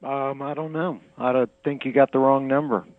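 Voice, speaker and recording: A man's voice: medium pitch, steady tone, moderate pace, no room sound. An American man talking casually over the telephone, as the one receiving a call. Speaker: prank call recipient. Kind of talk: casual phone conversation. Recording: dull lo-fi telephone line.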